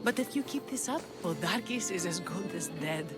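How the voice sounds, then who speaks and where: A woman's voice: fairly low pitch, lively intonation, moderate pace, lightly acted. An actress playing a devout, teasing young female Greek rebel leader in a video game, with an earnest manner. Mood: grateful. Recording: loud music behind the voice. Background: music.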